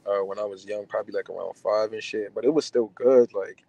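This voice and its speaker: A man's voice: on the low side, fairly even intonation, fairly quick, vocal fry, mellow, rough recording. A young man in his twenties from New Jersey, a podcast guest chatting casually over a video call from his car, with slangy talk and lots of fillers. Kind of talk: casual chat. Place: car interior. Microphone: phone camera at arm's length on video call.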